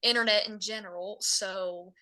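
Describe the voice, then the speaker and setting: A young woman with a Southern Appalachian drawl talks in long, rambling, earnest answers. Her voice is bright and forward, set at an ordinary middle pitch. She sounds like a country singer being interviewed on a podcast. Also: fairly even intonation, moderate pace, low-quality recording. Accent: Southern Appalachian American. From Virginia, USA. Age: young adult.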